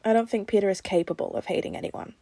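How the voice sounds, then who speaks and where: A woman voicing a steady, reassuring adult man with a serious manner in a story reading. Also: medium pitch, fast, no room sound, close to an everyday voice. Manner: serious, calming.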